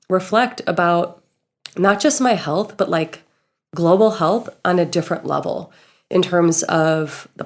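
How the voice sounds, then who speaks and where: A woman's voice: on the low side, gravelly. A young woman co-hosting a casual podcast, sounding nasal and stuffed up from illness, playful and giggly. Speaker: podcast co-host, healing coach.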